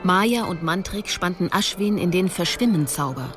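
A narrator tells a scripted fantasy tale in a radio production. The voice sits at medium pitch, with music clearly audible behind it. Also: expressive, moderate pace.

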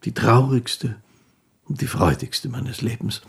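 An actor playing a poor, gentle, devout old fiddler who recalls his life in a halting, reverent monologue for a radio play. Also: medium pitch, expressive, vocal fry. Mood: wistful.

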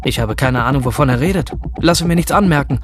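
Radio drama lead, acted by a man: young futuristic narrator, introspective and fixated, medium pitch, clear musical bed. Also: fast talker, music in the background, close to an everyday voice. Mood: detached, wry. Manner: brooding, introspective monologue. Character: obsessed, detached young male narrator of future elite. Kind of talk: German radio drama monologue.

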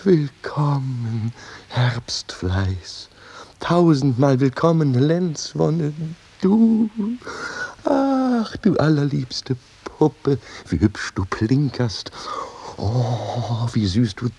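A man's voice: mid-range voice, expressive, very slow, mellow, slightly rough, heavily acted. An actor playing an earthy, stubborn wine farmer in a radio play, declaiming and savouring his words. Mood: awed, tongue-tied, then rapturous. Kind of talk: theatrical radio play acting with some singing.

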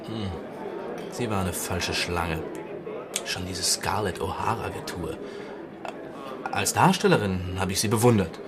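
A young actor in a radio play voicing an ambitious young stage actor who talks hesitantly and gossips slyly. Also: male voice, deep voice, lively intonation, measured pace, pauses often, heavy background noise. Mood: cagey, gossiping. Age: young adult.

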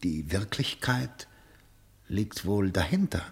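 Probing interrogator, widely swinging intonation. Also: male voice, deep voice, expressive, slow pace, exaggerated voice. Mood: coaxing. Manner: formal, rhetorical, pressing. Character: insistent, probing male interrogator, educated and shrewd. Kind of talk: German radio play acting.

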